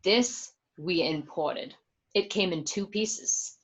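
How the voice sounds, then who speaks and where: Gangster's wife, woman actor: husky, showy, house-proud, radio-play read. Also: fairly low pitch, slow pace, a bit bright, rough recording. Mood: boastful, excited. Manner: boastful, showy. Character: Karen, proud gangster's wife showing off her new house. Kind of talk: film script read in radio-play form.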